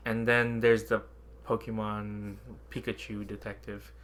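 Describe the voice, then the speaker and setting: A man's voice: fairly low pitch, little pitch movement, unhurried, fairly bright tone. A man co-hosting a podcast, chatting casually in a laid-back, somewhat hesitant way. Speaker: podcast co-host.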